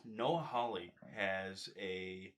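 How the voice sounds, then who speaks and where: A man with a fairly low voice talks in a casual, conversational style. He lays things out step by step, like someone retelling a story to a friend. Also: extremely slow, fairly bright tone. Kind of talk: casual conversation about films and comics.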